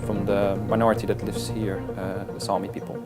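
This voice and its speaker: A man, a wilderness guide, talking in a casual interview in a halting, reflective way with frequent restarts. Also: lowish voice, level tone, over loud music. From Netherlands.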